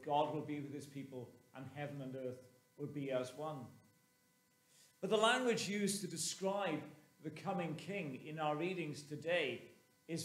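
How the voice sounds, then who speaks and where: A man in his sixties preaching a sermon as a clergyman, with measured, emphatic phrasing and pauses, recorded inside a church. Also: fairly high pitch. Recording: roomy, echoing church sound.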